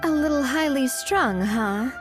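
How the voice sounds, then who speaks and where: An easygoing young female investigator in a video game. Her high voice moves animatedly as she talks candidly, with music softly behind. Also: a little slow. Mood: thoughtful. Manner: expressive, conversational.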